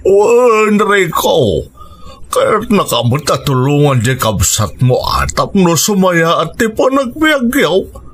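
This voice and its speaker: An encouraging father in an Ilocano radio drama, acted by a man, advises his grown son. His voice sits high, swings widely in pitch and moves at a slow pace. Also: huge pitch swings, a bit bright, exaggerated voice. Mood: advising.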